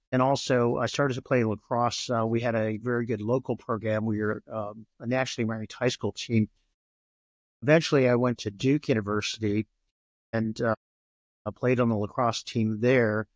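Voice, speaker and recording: A man in his sixties, born in Japan and raised in the US, talking as a podcast guest in a measured way with many pauses. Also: mid-range voice, no room sound. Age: 60s. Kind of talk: relaxed podcast interview.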